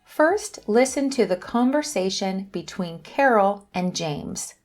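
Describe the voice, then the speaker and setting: A woman hosting an English lesson, reading questions and answers slowly and carefully. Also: medium pitch, expressive, soft background music. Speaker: English teacher and channel host. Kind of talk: scripted lesson narration.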